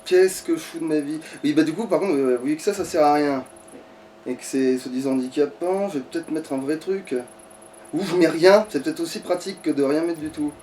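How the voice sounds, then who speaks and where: High-pitched man, sweary let's play commentator who grumbles as he plays; picked up from a distance over game music. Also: brisk, dark tone, slight background noise. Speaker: player on a gaming let's play. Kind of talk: casual gaming commentary with a co-player.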